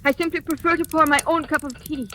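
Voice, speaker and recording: High-voiced young captive woman. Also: steady tone, fast, bright, forceful tone. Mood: defiant. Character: defiant, frightened captive young woman, desperate. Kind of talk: 1940s radio suspense acting. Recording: noisy old-time radio recording.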